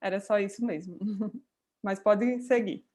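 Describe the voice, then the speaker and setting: A woman coordinator speaks on a video call in a medium voice. She sounds polite and grateful, pausing on small fillers as she welcomes the guest. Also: mid-range voice, fairly even intonation, darkish tone. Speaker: course coordinator.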